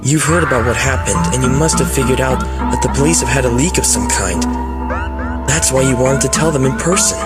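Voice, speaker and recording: Man acting courteous, scheming teenage boy, loud music. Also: medium pitch, a little fast, close to an everyday voice. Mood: polite, persuasive. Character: polite, calculating teenage male student, secretly scheming. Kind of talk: anime dub acting. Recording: voice under loud background music.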